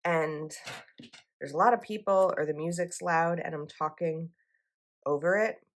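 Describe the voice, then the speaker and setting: Young woman vlogger, low voice, slightly froggy, rambling and casual. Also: a little slow. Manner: chatty, rambling with likes and ums. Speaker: planner vlogger. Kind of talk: casual chat while decorating a planner.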